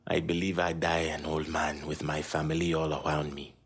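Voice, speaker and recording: A grieving Haitian gang leader in a video game drama. His voice is very deep and rough-edged, with a Haitian accent. He speaks gravely and deliberately, like someone long embittered. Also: monotone, exaggerated voice. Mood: solemn, resigned. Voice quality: husky, low voice. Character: grieving, embittered Haitian gang boss, middle-aged man.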